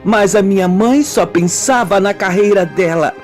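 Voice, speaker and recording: A woman with a Brazilian accent playing a wounded, brooding woman confessing her childhood in a melodramatic, deliberate radio soap style. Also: mid-range voice, lightly acted. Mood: bitter longing. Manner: slow, melodramatic, deliberate storytelling. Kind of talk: amateur radio soap acting. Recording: music bed under the voice.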